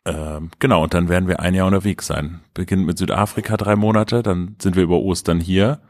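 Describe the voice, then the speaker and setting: A man in his thirties with a cold, co-hosting a podcast in a relaxed, rambling way. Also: low-pitched, fairly quick, dry recording, studio quality. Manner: relaxed, rambling with many fillers. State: has a cold. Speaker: podcast co-host. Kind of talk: casual podcast chat.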